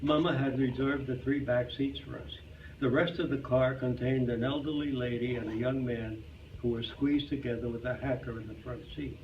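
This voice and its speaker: A man with a medium-pitched voice reads a humorous memoir on stage in a club hall. He sounds a bit far from the microphone, and there is faint noise in the recording. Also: highs cut off. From Brooklyn, New York. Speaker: reader at a community readers theater. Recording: distant mic, noisy hall sound.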